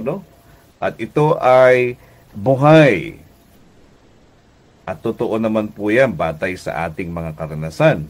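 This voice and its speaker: A Filipino man preaching a devotional radio message as pastor and host, deliberate and emphatic, often checking in with listeners. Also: sits low, darkish tone. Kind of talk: devotional radio sermon in conversational teaching tone.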